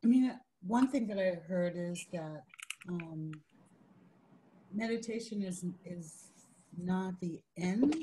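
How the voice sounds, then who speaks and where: A woman taking part in an online group discussion, with a low, soft voice, speaking tentatively and searching for words. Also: a little hiss or hum, poor audio. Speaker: participant. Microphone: online video call.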